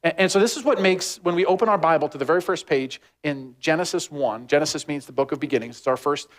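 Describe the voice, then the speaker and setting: A pastor preaching a casual, rambling sermon, full of "like" and asides to the congregation. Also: male voice, fast talker. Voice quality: says he has a deep voice. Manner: rambling, animated, heavy use of "like".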